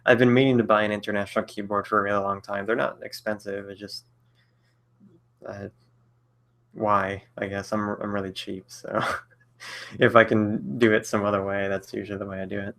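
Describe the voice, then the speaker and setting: A man's voice: lowish voice, little pitch movement, moderate pace, a bit bright. A man in his late twenties answering viewer questions on a casual live stream, rambling and hesitant with many fillers and frequent chuckles. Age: 20s. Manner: rambling, hesitant, lots of fillers and self-corrections; chuckles often between thoughts.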